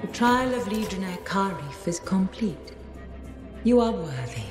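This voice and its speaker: A woman's voice: medium pitch, lively intonation, a little slow, natural voice. A woman voicing a solemn ancient guardian spirit in a video game, making formal ceremonial proclamations. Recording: echoey hall reverb, faint music.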